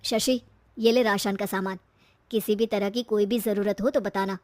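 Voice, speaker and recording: A woman's voice: mid-range voice, fairly even intonation, normal speed, no echo, lightly acted. A supportive grown brother, voiced by a woman. He is firm, plain-spoken and reassuring, in the manner of a cartoon story.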